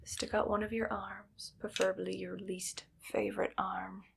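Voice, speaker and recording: Creaky, whispery evil female doctor, acted by a woman. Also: medium pitch, flat delivery, moderate pace, noisy background. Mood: coolly threatening. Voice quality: soft, whispery, with creaky fry. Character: evil, vain, paranoid female mad doctor. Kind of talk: ASMR medical roleplay.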